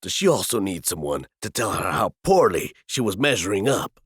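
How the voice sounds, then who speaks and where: A man playing a wry, level-headed friend in an audio drama, speaking in a measured, dry, reproving way. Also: sits low, big pitch swings, a bit bright, husky, full character voice.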